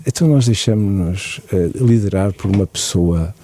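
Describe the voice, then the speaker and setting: A man, speaking low and gravelly with a very dark tone. He talks in a measured, reflective way, like a guest commentator on morning radio. The sound is close and dry. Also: no room sound.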